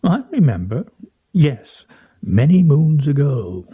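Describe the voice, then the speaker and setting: A man's voice: on the high side, sing-song, slow pace, slightly rough, phone-quality sound, exaggerated voice. An actor in a radio play voicing a pompous, sardonic man who speaks in grandiose, mock-serious style. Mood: needling. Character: pompous, sardonic, theatrical man at a scrabble game.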